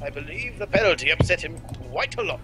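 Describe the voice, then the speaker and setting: A man's voice: on the high side, animated, fast, over music, natural voice. A young man voicing a fussy, pompous older male lawyer in a game dub, talking in a formal, old-fashioned way. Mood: musing.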